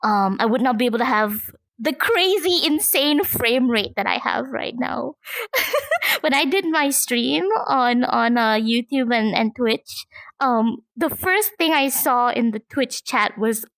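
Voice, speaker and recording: A woman from Malaysia talks in a casual interview style. Her voice sits fairly high, and the sound is dry, with very little room in it. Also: expressive, a little slow, fairly bright tone, no echo. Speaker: guest, content creator and VTuber.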